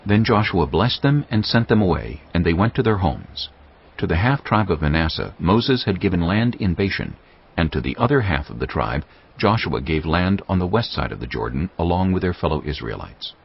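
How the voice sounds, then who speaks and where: Male narrator, low voice, lively intonation, solemn measured scripture reading, dull recording. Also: husky. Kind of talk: dramatized audio Bible narration.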